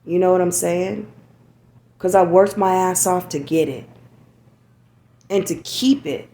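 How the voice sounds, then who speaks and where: Low, gravelly woman speaking candidly. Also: measured pace, mellow. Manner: candid, repeats "you know what I'm saying". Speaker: podcast host. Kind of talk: reflective personal monologue.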